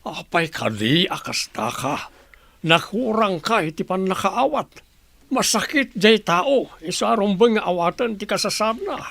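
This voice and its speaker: A man's voice: high voice, very animated, measured pace, vocal fry, fairly bright tone, heavily acted. An actor playing a concerned, patient family man in a radio drama, reasoning earnestly. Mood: reproachful. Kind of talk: Ilocano radio drama acting.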